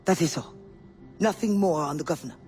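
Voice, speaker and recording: A woman's voice: fairly low pitch, fairly quick, music in the background, close to an everyday voice. Actress as a proud, guarded spymaster woman. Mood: curt, demanding. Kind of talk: dramatic video game acting.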